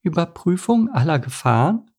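A man's voice: a little high, sing-song, moderate pace, darkish tone, slightly rough, pitched above the performer's own voice, exaggerated voice. A man voicing a worried, stern mother duck in a storybook, with a serious, scolding manner. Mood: incredulous. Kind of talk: storybook character voice.

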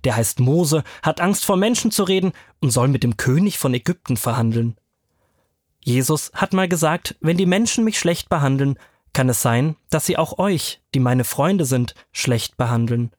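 A man as a guest storyteller, explaining Bible stories to children in simple, clear phrases. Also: mid-range voice, lively intonation, normal speed, a little mellow, dry recording, studio quality.

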